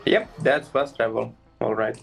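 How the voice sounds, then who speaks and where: A man giving low-key, brief, casual commentary as he streams a game playthrough. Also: steady tone, a little slow, creaky, soft background music.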